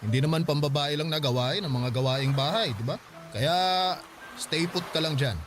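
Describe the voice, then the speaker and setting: A man's voice: a little high, normal speed, music in the background, close to an everyday voice. A man playing a caring, protective young husband who frets over his wife in a radio drama. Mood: tender, insistent.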